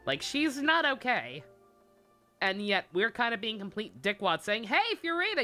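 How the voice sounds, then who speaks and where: A woman's voice: a little high, bright, forceful tone. A woman livestreaming a game, giving casual, sweary, sardonic and rambling commentary. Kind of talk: casual live stream commentary and recap. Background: game music.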